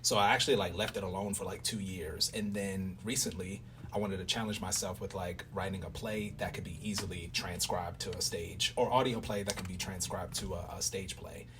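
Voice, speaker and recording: Man, low pitch, casual interview chat, slightly off-mic with faint room noise. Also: steady tone, brisk, hardly any pauses. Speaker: interviewer and radio play writer. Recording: noisy room, distant mic.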